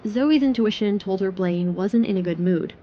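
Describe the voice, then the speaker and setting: A woman's voice: mid-range voice, normal speed, dull audio, slight background noise. A woman narrator reading a scripted third-person romance story for an audio drama.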